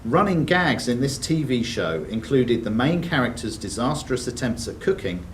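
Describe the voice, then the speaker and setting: Quizmaster, lively pitch, heard from afar. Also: male voice, normal speed. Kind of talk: live quiz reading with scripted questions and brief banter. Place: sports and social club room. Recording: distant room mic, faint background noise.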